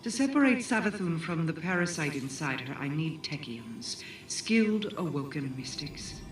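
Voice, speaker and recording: A woman's voice: deep voice, expressive. Casting: composed otherworldly game queen, formal and calculating, heard echoey and set back over music. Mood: grave, measured. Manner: formal, measured, commanding. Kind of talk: video game cutscene dialogue. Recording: echoey, distant, with music behind.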